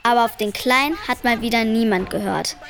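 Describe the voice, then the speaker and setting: A woman as a high-voiced precocious schoolgirl narrator. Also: a little fast, creaky, a bit bright. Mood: wry. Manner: wry, matter-of-fact, know-it-all. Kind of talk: children's radio play narration. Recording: music behind the voice.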